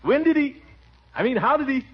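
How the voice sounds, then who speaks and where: An actor playing a blustering, pompous middle-aged uncle in a 1940s radio comedy, booming and chuckling. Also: male voice, very animated, a little fast. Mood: scolding. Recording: dull 1940s radio broadcast.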